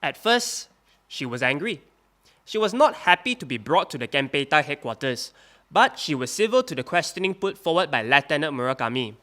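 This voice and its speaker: An actor playing a male witness at a wartime trial, speaking in a halting testimony that climbs into agitated outbursts. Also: a little high, very animated, bright, forceful tone. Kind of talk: audio drama acting.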